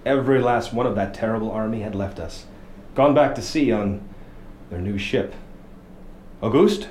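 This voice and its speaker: An actor playing a haunted lighthouse keeper who tells a horror tale in a brooding, halting, dramatic radio play monologue. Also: male voice, lowish voice, darkish tone, close to an everyday voice. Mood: bitter resignation. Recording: echoey, noisy location recording in lighthouse.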